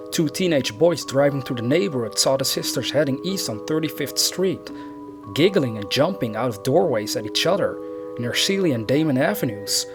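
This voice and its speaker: A man reading a scripted true crime narration as the narrator of a video. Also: medium pitch. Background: background music.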